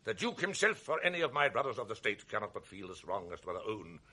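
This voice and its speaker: An enraged old senator in a radio drama. He hurls accusations in a husky, bright voice with a wide pitch range. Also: big pitch swings, fast, exaggerated voice. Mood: indignant. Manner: accusing, fierce rhetorical outbursts. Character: outraged old father, a venetian senator. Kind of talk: 1980s radio drama acting.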